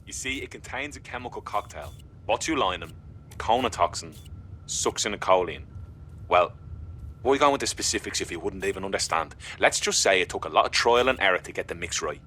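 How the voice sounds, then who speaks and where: Low, cold, condescending villain scientist. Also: male voice, animated, fairly quick, pushed and bright, a little hiss or hum. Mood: cold, smug. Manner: condescending, clinical. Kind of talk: video game voice acting.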